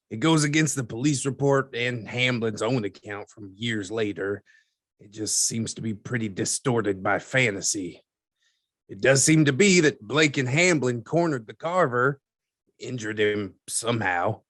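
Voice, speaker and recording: A man acting as a skeptical newspaperman in an audio drama interview. His mid-range voice is dry and wry as he explains in a measured way, recorded with no room sound. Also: medium pitch, fairly bright tone, no room sound. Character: dry, skeptical newspaperman recounting archived cases.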